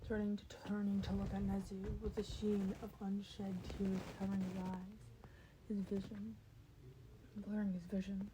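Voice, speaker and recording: A woman's voice: medium pitch, dark tone, slight background noise, poor audio. A woman narrating fan fiction as an amateur audiobook reader, now and then stumbling and repeating a phrase. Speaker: podfic reader.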